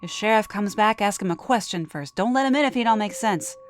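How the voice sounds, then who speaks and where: A woman's voice: mid-range voice, fairly quick, slight background noise, voice pushed up, natural voice. Woman acting out an urgent, strong-willed country woman. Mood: grim urgency. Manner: pleading, commanding, folksy country speech. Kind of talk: dramatised horror storytelling.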